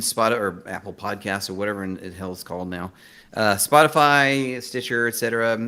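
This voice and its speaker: Man, low-pitched voice, informal podcast guest. Self-deprecating, conversational delivery. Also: a bit bright, smooth. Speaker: interview guest, screenwriter and podcaster. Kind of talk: casual chat.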